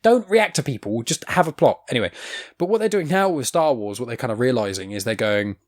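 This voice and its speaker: A man chatting on a podcast, rambling and animated, with quick asides and casual swearing. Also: medium pitch. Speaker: podcast co-host. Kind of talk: casual chat.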